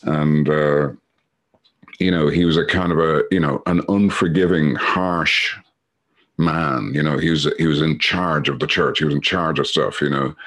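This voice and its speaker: A man's voice: rumbling low, fairly even intonation, a little slow, fairly bright tone. A middle-aged Irish man sharing his story on a video call, rambling with many fillers and a dry, sweary humor. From Ireland. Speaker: recovery meeting speaker.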